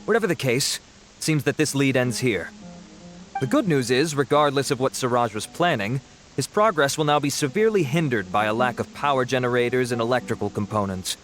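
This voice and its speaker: A man plays a formal officer from an academy's security force in a fantasy game, reporting in a brisk, businesslike way. His voice sits at an ordinary middle pitch. Faint music plays behind him. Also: lively intonation, a bit bright, natural voice. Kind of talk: game cutscene voice acting.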